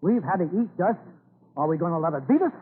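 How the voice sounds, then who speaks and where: High-voiced determined farmer, old radio. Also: male voice, big pitch swings, fast, husky. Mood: rousing, earnest. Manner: plain-spoken, rousing, rural speech. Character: determined farmer rallying his neighbours, earnest family man. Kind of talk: 1940s radio acting. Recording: scratchy old radio broadcast, narrow band, hissy.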